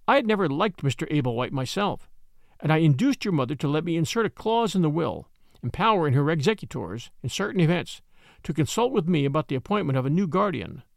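A family lawyer speaks in a measured, formal and kindly way, read by a male narrator. The pitch moves expressively. The sound is dry and intimate. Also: gravelly, no room sound.

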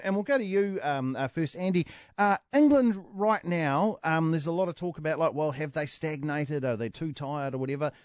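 A man hosting a radio interview, asking questions in a relaxed, hesitant way with fillers. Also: on the high side, dry recording, studio quality. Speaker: radio host.

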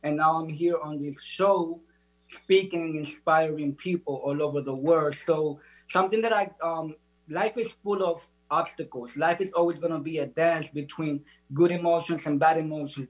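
A man's voice: a little high, moderate pace, rough recording. A man from the Dominican Republic giving earnest, uplifting motivational talk as a show guest. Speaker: guest, motivational speaker and author.

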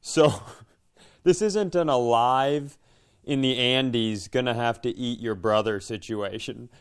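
Smooth-voiced American man, comedic podcast co-host. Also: mid-range voice, takes it slowly. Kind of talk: casual comedic chat and storytelling.

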